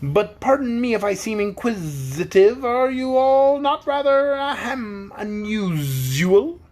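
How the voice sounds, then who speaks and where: A storybook reader voicing a pompous, long-winded insect gentleman who speaks slowly and grandly. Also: mid-range voice, very animated, voice pushed up. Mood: polite. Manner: slow, drawn-out, grandly formal delivery.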